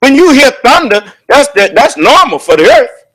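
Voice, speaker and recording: A man preaching a sermon at a prayer service in a loud, booming voice, driving his points home with rhetorical questions. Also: very animated, normal speed.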